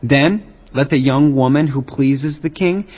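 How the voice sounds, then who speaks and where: A man's voice: mid-range voice, a little slow, highs cut off, close to an everyday voice. A man playing a formal, deferential attendant advising an ancient king in a dramatized audio Bible. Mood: formal, proposing.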